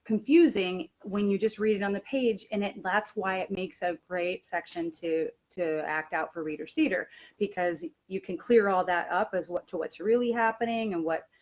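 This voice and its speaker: A woman with a medium-pitched voice gives an informal teaching presentation. She sounds like a language teacher explaining her methods conversationally, thinking aloud as she goes. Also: moderate pace, hardly any pauses.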